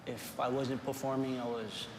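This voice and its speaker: A man with a mid-pitched voice answers casually in an interview. The sound is very reverberant, like a hall, and loud noise runs behind him. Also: fairly even intonation, moderate pace. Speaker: interviewee, fire dancer. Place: large indoor room at a gym.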